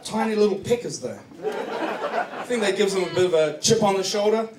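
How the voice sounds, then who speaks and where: Echoey, high-pitched New Zealand male stand-up. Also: moderate pace. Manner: profane, joking storytelling. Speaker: stand-up comedian. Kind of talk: stand-up comedy set. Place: comedy club stage before a live audience. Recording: distant echoey club recording, noisy laughing crowd.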